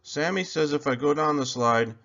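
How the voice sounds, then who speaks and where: Man as a earnest, higher-pitched little boy. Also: normal speed, a bit bright, highs cut off, close to an everyday voice. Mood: worried. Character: young boy Kevin, timid but imaginative storybook son.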